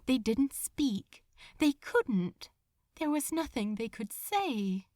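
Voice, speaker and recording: Slow, highly expressive woman narrator. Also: dry recording, clean studio sound. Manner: solemn, deliberate, suspenseful. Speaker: podfic narrator. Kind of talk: dramatic scripted story narration.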